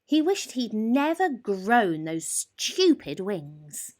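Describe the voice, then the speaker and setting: A woman storyteller reading a children's picture book expressively, with deliberate phrasing, drawn-out emphasis and dramatic pauses. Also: mid-range voice, sing-song. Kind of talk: expressive bedtime story narration.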